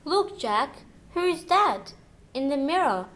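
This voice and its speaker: A woman's voice: high voice, very animated, takes it slowly, fairly bright tone, exaggerated voice. A woman playing a curious, grateful fairy-tale sister in a children's radio play.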